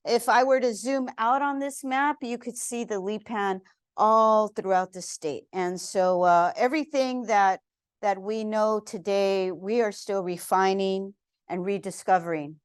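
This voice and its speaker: A woman in her fifties from Texas giving an earnest, heartfelt museum lecture, pausing often with fillers as she speaks. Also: mid-range voice, clear, resonant tone, no echo, studio quality. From San Antonio, Texas. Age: 50s.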